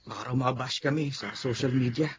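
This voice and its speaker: A man's voice: medium pitch, brisk, dull audio, a little hiss or hum, lightly acted. An actor playing a young man who earnestly confides his secret romance to a friend. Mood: confiding. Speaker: tricycle driver. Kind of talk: radio drama acting.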